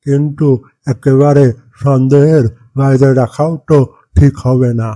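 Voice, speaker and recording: A man's voice: mid-range voice, little pitch movement, measured pace, warm tone, no echo, lightly acted. A man playing a distrustful village man in a cartoon, insistent and accusing. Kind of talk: cartoon character acting.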